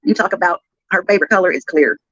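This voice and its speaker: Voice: medium pitch, fast, pushed and bright, dry recording. A livestreamer chatting casually, with a sarcastic manner and some swearing. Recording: dull lo-fi replayed livestream audio.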